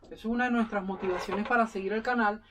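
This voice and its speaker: A talkative man chatting through a relaxed interview, rambling from point to point and plugging his own work. He sits a little back from the microphone, so his voice sounds slightly distant. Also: sits high, quick pace, background noise. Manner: chatty, rambling, self-promoting. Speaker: sailing YouTuber guest. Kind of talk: casual interview chat.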